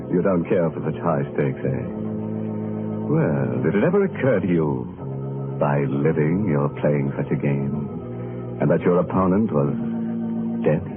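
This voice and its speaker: A man's voice: a bass voice, animated, a little slow, pauses often. A man hosting and narrating an old radio mystery in a theatrical, ominous manner. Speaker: host and narrator. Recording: thin, narrow-band old radio recording. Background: organ music.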